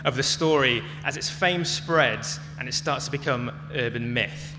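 A man giving a prepared public talk as a speaker, telling stories in a measured, dramatic way. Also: medium pitch. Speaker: conference speaker. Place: large hall, talk to an audience. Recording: echoey hall with music behind.

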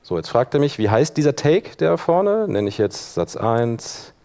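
A man's voice: mid-range voice, big pitch swings, a little slow, warm tone. Casual man presenting a live workshop. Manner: casual, thinking aloud while demonstrating. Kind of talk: informal live software tutorial. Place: live talk in a conference room.

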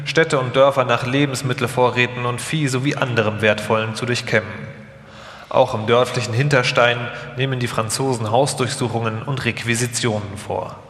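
A man's voice: mid-range voice, a bit bright. A man reading scripted documentary narration as the narrator of a live radio feature. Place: large hall, live stage performance. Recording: echoey hall with long reverb.

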